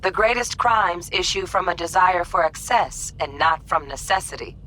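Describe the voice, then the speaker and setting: An actress playing a curt, cautious, bookish hacker in a video game. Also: female voice, on the low side, lively intonation, normal speed, pushed and bright, a little hiss or hum, poor audio. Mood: brisk, dry. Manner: curt, no-nonsense.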